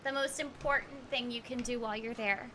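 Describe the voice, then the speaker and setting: Bubbly, high-voiced woman from Texas. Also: fairly quick, crowd noise. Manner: bubbly, giggly, animated. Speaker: voice actor streaming a game. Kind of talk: casual chat with a live stream audience.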